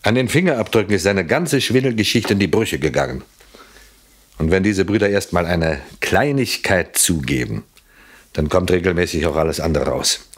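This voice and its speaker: An actor in a radio play playing a shrewd police inspector, formal, courteous and pleased with himself. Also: male voice, sits low, animated, normal speed, slightly rough, heavily acted. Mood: self-satisfied, formal. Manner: formal, self-satisfied, courteous.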